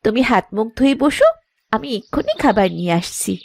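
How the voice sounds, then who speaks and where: A sensible young cartoon wife, speaks in a fairly high, very animated voice. She is earnest and gently chiding. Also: brisk, gravelly, no echo, studio quality. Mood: helpful, earnest. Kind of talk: Bengali cartoon moral-tale acting.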